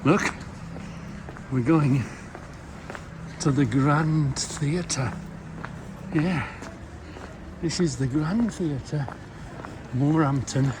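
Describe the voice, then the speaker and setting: A man's voice: fairly high pitch, very slow, long pauses, noisy background. A man chatting casually as a vlogger, rambling, repeating himself and putting questions to the listener. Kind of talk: casual chatty vlog commentary. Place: out on a city street and inside a busy theatre auditorium. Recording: background music and crowd chatter in public spaces.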